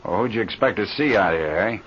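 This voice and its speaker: An actor playing a brisk, authoritative aviator boss in an old-time radio adventure serial. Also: male voice, lowish voice, expressive, close to an everyday voice. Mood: exasperated, dismissive. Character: calm, brisk, commanding male aviator boss. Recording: dull, noisy old radio serial recording.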